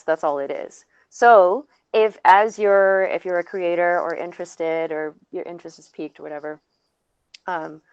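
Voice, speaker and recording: Brief: female panelist with a lowish voice, explanatory and halting, close dry sound, slightly muffled. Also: clean tone, no room sound. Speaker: audio producer on a panel. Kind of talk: casual panel talk.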